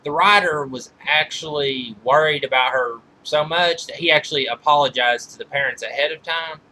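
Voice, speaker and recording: Male reviewer, very sharp bright voice, informal countdown commentary, stop-start phrasing with rewordings, faint noise behind. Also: on the high side, rough recording. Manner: halting, often backtracking and rephrasing mid-sentence. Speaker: video reviewer counting down a list of movies.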